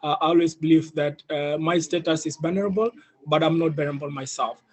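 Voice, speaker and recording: A man's voice: a little high, steady tone, a little fast. A young man with an East African accent giving an earnest personal presentation on an online panel, often adding "you know". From Ethiopia, of South Sudanese family. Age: young adult. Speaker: youth leader, panel speaker. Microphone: video call.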